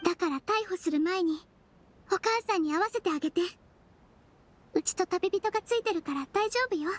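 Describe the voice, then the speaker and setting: A woman voicing a small, composed, kindly nonhuman head nurse in an anime-style game, gentle and matter-of-fact. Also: extremely high pitch, normal speed. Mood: reassuring.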